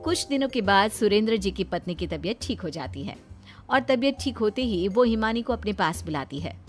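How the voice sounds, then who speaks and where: A woman's voice: medium pitch, expressive, brisk, faint music behind. A woman storyteller reading scripted narration for a story.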